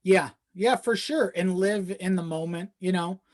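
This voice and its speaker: A man's voice: high-pitched, measured pace. A man chatting casually as a podcast guest, often checking in with the listener as he goes. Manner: conversational, frequent you-know check-ins.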